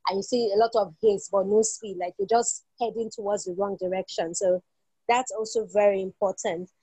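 A woman's voice: medium pitch, expressive, normal speed, vocal fry, warm tone, breathy edge, dry recording, low-quality recording. A young woman, hosting a podcast and talking conversationally. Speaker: podcast host. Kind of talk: conversational interview.

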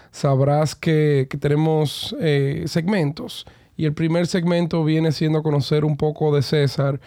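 A man hosting a podcast from a recording booth, upbeat and enthusiastic, often pausing on hesitation sounds as he introduces a guest. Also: fairly high pitch, warm tone, no echo, studio quality. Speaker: podcast host.

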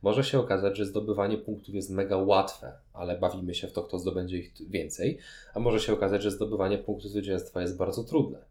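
A man's voice: deep voice, steady tone, a little fast, mellow, reverberant. A man co-hosting a board game podcast, explaining things in detail in a conversational way. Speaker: podcast co-host. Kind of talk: board game review chat.

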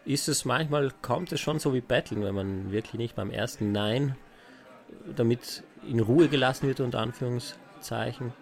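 A man's voice: medium pitch, creaky. A man from South Tyrol with a South Tyrolean accent, chatting casually as a podcast co-host. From South Tyrol, Italy.

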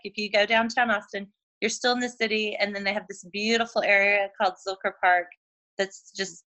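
A woman in her forties from Oklahoma, chatting casually as a guest over a video call, full of "like" fillers and quick laughs. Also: fairly high pitch, bright, forceful tone. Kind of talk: casual chat.

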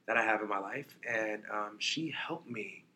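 A man's voice: fairly low pitch, normal speed, pushed and bright, a little hiss or hum. A man in his thirties from New York, chatting easily as an interview guest and filling his talk with "like" and "you know". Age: 30s. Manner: chatty, laid-back, peppered with "like" and "you know". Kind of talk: casual chat.

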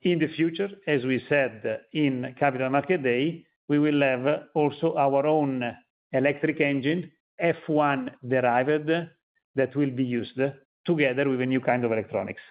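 A man speaking haltingly as a company executive, answering questions on an earnings call. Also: mid-range voice, fairly even intonation. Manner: halting, searching for words. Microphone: conference call line. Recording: narrow, tinny phone line.

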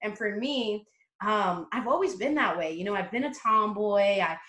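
A woman's voice: medium pitch, moderate pace, a bit bright, roomy sound. A woman guest on a casual podcast interview, talking brashly and confidently and swearing freely. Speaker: interview guest, motivational coach.

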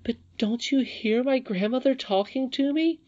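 A woman's voice: medium pitch, sing-song, normal speed, a little mellow, highs cut off, voice pushed up, close to an everyday voice. A woman voicing an earnest young princess in a storybook, eager and easily wounded. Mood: tearful, pleading. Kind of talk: storybook character reading.

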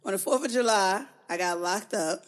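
A young woman with a hoarse voice hosting a casual podcast chat and laughing through her stories. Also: mid-range voice, moderate pace. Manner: laughs while telling stories. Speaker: podcast host.

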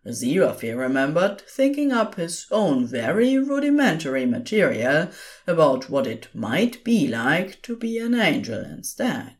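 A woman narrating scripted fan fiction as an expressive storyteller. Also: low-pitched, measured pace.

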